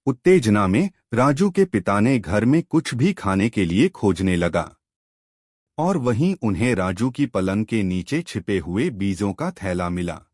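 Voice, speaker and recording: A man's voice: lowish voice, big pitch swings, a little slow, a little mellow, no echo, clean studio sound. A man narrating a scripted moral story for children.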